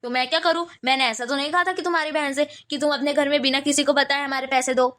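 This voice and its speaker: A woman's voice: high voice, steady tone, fast talker, a bit bright, pitched below the performer's own voice. A woman voicing a brusque, impatient brother-in-law who dismisses everyone on a phone call. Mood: irritated.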